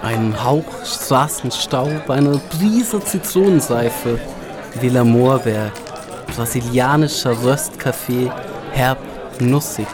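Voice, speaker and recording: An actor in an audio drama playing a dreamy male village drinker who muses haltingly, savouring things item by item. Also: medium pitch, close to an everyday voice. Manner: musing, halting, list-like. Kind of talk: atmospheric audio drama acting. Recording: loud music and crowd behind voice.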